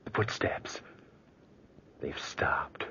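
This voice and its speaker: An actor playing a nervous, tormented nephew obsessed to madness, brooding and halting in an old-time radio horror drama. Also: male voice, sharp tone, whispered. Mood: hushed dread. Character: nervous, guilt-haunted, obsessed nephew confessing murderous dread. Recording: dull, hissy old radio recording.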